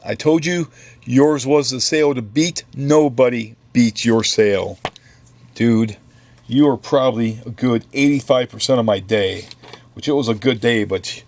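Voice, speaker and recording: A man's voice: medium pitch, a little mellow. A man running a comic shop, talking casually through the week's new comics with offhand joking asides. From United States. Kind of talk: casual product run-through. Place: comic book store.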